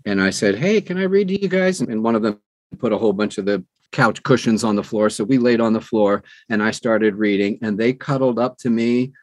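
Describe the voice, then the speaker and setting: A man in his sixties chatting as an interview guest, telling personal stories in an animated way and acting out quoted dialogue. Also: fairly low pitch, fairly quick, no room sound. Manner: animated storyteller who acts out quoted dialogue. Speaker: guest, audiobook narrator. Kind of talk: conversational personal storytelling.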